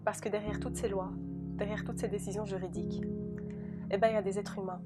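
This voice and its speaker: A woman's voice: mid-range voice, level tone, fairly quick. A young woman from Belgium whose first language is Dutch, giving an earnest, scripted personal testimony and speaking directly to the listener. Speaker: law graduate giving a testimony. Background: music.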